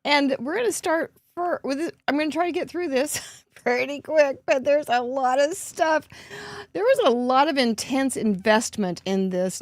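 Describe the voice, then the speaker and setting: A hoarse woman in middle age hosts a casual show-and-tell with a chatty, upbeat manner and frequent laughs. Her voice sits a little high. She is recorded close up with a dry sound. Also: no echo. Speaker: host.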